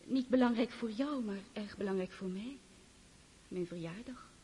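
An actress in a Dutch radio drama playing a gentle, coaxing aristocratic lady who pleads softly and hesitantly. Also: medium pitch, moderate pace, lightly acted. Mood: pleading. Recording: reverberant, hissy old radio play recording.

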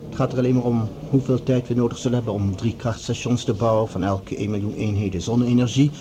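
An actor playing a commanding project leader, speaking formally and with measured authority in a radio drama. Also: male voice, mid-range voice, fairly even intonation, a crowd in the background, lightly acted. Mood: businesslike. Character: commanding male project leader addressing assembled scientists. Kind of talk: radio drama acting, formal speech-making.

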